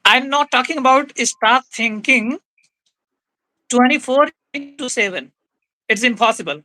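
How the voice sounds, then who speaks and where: A woman's voice: on the high side, a little slow, sharp tone, dry recording, poor audio. A woman explaining language-learning tips in a conversation, firm and repeating her key points. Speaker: English-speaking guest.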